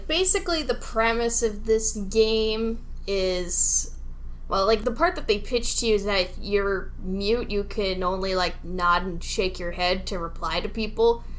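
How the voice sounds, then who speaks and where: A woman's voice: mid-range voice, measured pace, a bit bright, a little hiss or hum, low-quality recording. A woman co-hosting a podcast, talking casually about video games. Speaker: podcast co-host.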